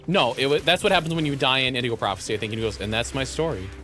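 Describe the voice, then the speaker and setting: Excitable young man losing his voice. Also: medium pitch, racing, soft background music. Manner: excitable, laughs often, repeats words in bursts. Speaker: live streamer. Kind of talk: casual stream chat.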